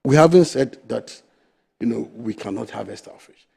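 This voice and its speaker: A middle-aged Ghanaian man, president of a fisheries association, arguing his case forcefully and emphatically as a guest on a TV studio discussion. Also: mid-range voice, lively intonation, normal speed, creaky, slightly rough.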